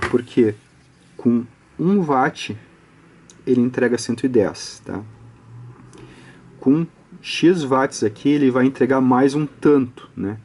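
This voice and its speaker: A man's voice: medium pitch, darkish tone, slight background noise. A man with a Brazilian Portuguese accent giving an informal step-by-step technical tutorial, conversational and explanatory, often ending phrases with small tag questions. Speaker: audio electronics instructor, channel host.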